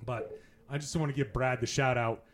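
A man's voice: mid-range voice, steady tone, moderate pace. A man hosting a casual podcast in person, chatty and laughing often, with frequent restarts. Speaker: podcast host. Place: in-person recording in Las Vegas.

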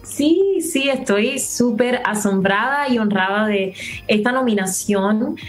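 Female guest, Puerto Rican accent, video call audio, lively and grateful. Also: on the high side, slow, faint music behind, poor audio. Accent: Puerto Rican Spanish. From Puerto Rico. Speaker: singer guest. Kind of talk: interview over video call.

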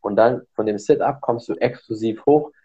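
Brief: fairly low male host, chatty explainer, muffled lo-fi audio. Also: normal speed, dark tone, slightly rough. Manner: explains at length, many fillers. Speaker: podcast host. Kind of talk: casual podcast conversation.

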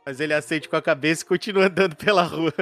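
A man's voice: on the high side, rapid-fire, fairly bright tone. A man improvising narration as a player in a tabletop roleplay game, descriptive and playful, with music behind him.

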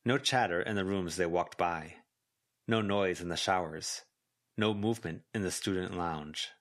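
Slightly low-voiced man narrating fiction. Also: fairly bright tone. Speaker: volunteer audiobook narrator.

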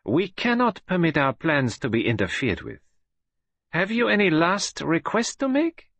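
A man voicing a cold, mocking female criminal mastermind who speaks low and softly menacing. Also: sing-song, measured pace, no room sound, full character voice.

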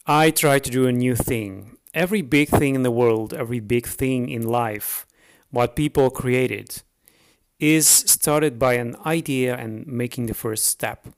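A man's voice: mid-range voice, unhurried. Hesitant Dutch-accented man hosting a podcast. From the Netherlands. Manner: hesitant, unscripted, frequent fillers and restarts. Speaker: podcast host. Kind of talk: solo podcast introduction.

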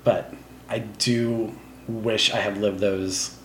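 A man's voice: fairly low pitch, level tone, slow pace, echoey room, a little hiss or hum, rough recording. A man in his thirties who grew up in Taiwan with Chinese as his first language, a podcast guest rambling casually with lots of filler words. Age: 30s. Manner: rambling, filler-heavy, laughs between thoughts. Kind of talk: casual chat.

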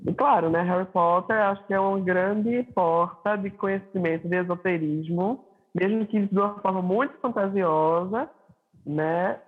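A high-voiced man with a Pernambuco accent talks over a remote call, thoughtful and hesitant with many fillers. His tone is husky, and the sound is thin and tinny like a phone line. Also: moderate pace. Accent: Pernambuco Portuguese. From Recife, Brazil. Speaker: singer-songwriter guest. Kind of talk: casual interview chat.

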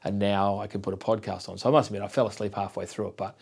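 Middle-aged male host, low voice, chatty, stumbles and restarts as he goes. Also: little pitch movement, creaky, a little mellow, husky. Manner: friendly, rambling, halting restarts. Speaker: interview host. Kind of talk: casual interview conversation.

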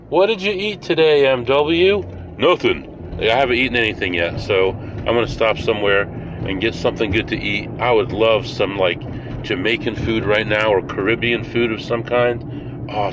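A man rambling casually to his viewers as he drives between stops, thinking out loud. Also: on the low side. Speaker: host. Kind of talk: casual driving vlog. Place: inside a moving car. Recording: loud car road noise.

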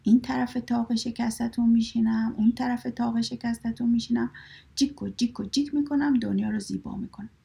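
A woman's voice: on the high side, steady tone, mellow. Woman doing a cheeky singsong sparrow. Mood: playful sing-song. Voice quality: breathy, husky. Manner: sing-song rhyming chant. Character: cheeky noisy little sparrow singing rhymes. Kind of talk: storybook reading.

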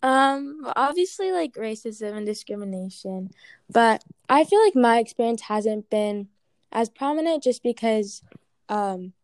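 A woman's voice: a little high, expressive, measured pace, dry recording. A woman from Ghana with an American accent, answering interview questions casually with many "like" and "um" fillers.

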